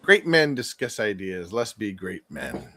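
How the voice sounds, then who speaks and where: A man's voice: fairly low pitch, sing-song, unhurried, sharp tone, slight background noise. A man in his forties co-hosting a casual conversation, rambling and thinking aloud with frequent restarts. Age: 40s. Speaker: podcast co-host.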